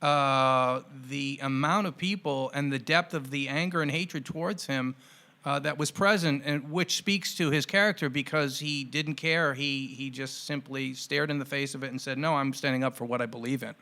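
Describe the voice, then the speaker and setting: A man hosting a podcast interview, asking questions in measured, deliberate, formally worded phrases. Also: mid-range voice, fairly even intonation, sharp tone. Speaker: podcast host interviewing guests.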